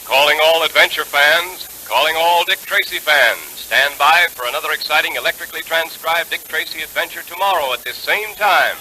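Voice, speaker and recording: A man's voice: a little high, a little fast, hardly any pauses, sharp tone. A man calling out emphatically as a 1930s radio announcer. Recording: noisy 1930s radio recording.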